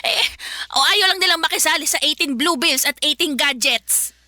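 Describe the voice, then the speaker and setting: An actress playing an irritable, money-minded Filipino mother in a radio drama, complaining with a sharp tongue and a Filipino accent. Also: high voice, moderate pace, no room sound, clean studio sound. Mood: indignant, griping.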